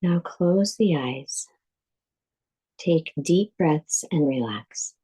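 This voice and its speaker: A woman guiding a yoga nidra meditation as a teacher, speaking calmly and deliberately in measured phrases with pauses. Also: low voice, husky, poor audio. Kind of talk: guided meditation, scripted instruction.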